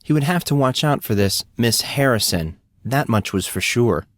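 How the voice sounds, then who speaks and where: A man's voice: medium pitch, expressive, moderate pace, no echo, studio quality. A man reading scripted fiction as an audiobook narrator.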